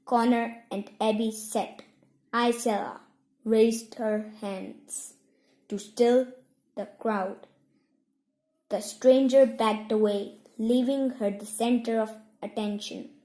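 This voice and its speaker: Slow, high-voiced female story reader, echoey. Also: fairly even intonation, dark tone. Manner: measured reading in short, separated phrases. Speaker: audiobook reader. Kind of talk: scripted story narration. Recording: roomy, echoing home recording.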